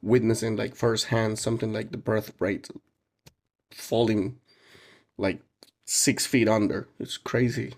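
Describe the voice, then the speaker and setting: A man's voice: on the low side, fairly even intonation, unhurried, pauses often. A man hosting a casual teaching podcast, enthusiastic and jokey, laughing often as he introduces guests and asks questions. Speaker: podcast host.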